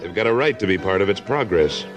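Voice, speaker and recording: A man's voice: deep voice, animated, fast talker, over music. An actor playing a tough, fair-minded Old West cattleman in a 1950s radio western, laconic and plain-spoken. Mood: steady, firm.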